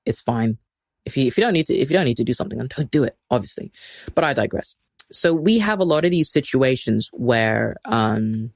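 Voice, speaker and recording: A podcast host from Kenya who talks in loose, rambling runs full of "like" and laughs at themself. The voice sits at a middling pitch and sounds dry and close, with no room echo. The tone turns motivational between self-mocking asides. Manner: rambling and chatty, heavy use of "like", laughs at self. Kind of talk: casual solo live-stream talk.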